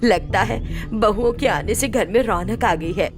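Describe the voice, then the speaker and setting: A woman's voice: mid-range voice, a little slow, over music, natural voice. A woman voicing an older mother-in-law in a storybook tale, bossy and exasperated but placable. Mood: pleased, instructing. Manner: scolding, commanding homemaker. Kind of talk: acted dialogue in a Hindi moral story.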